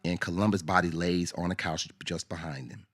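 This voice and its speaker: A man's voice: low voice, steady tone, brisk, a bit bright, husky. A man reading scripted narration for a radio drama. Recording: narration over music. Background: music.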